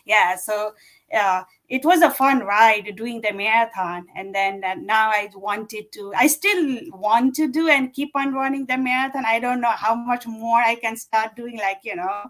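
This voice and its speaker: A woman's voice: medium pitch, fairly bright tone, rough recording. A woman, a guest chatting casually and rambling with many fillers. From Nepal. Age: 40s. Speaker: guest runner. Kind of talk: casual interview chat.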